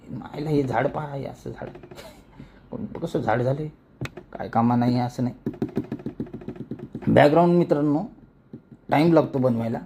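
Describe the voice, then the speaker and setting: A man's voice: on the high side, creaky, dark tone, slight background noise. A man with a rural Marathi accent from Maharashtra, giving a casual, colloquial step-by-step tutorial and often repeating words as he demonstrates. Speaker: animation tutorial creator.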